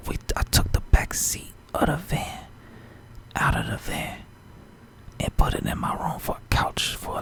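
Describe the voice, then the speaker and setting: A man's voice: fairly high pitch, a bit bright. A young adult American man whispering an ASMR story in a slangy, rambling way, often repeating himself and checking in with the listener.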